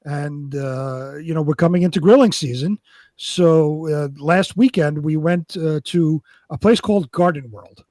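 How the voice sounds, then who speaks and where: A man with a slightly high voice, recorded very dry, talks casually as a show co-host. He rambles through his stories, pausing and doubling back on his words. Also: a little mellow, dry recording. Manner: rambling, halting storyteller. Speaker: co-host of a live online show. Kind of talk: casual chat.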